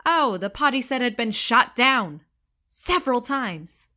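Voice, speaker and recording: A woman's voice: a little high, lively intonation, measured pace, bright, forceful tone. A woman playing a sarcastic, irreverent young recruit in a sci-fi comedy audio drama, casual and flippant. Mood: incredulous. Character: snarky, irreverent young woman, reluctant space recruit and narrator. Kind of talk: comedy sci-fi audio drama, first-person narration and dialogue.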